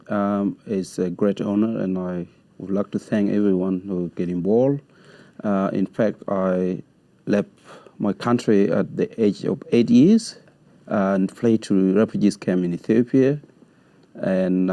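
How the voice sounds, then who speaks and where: Guy being interviewed, low dark voice, slow halting answers, dry sound. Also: fairly even intonation, no echo. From South Sudan. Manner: measured, halting, with non-native grammar. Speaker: guest, community leader.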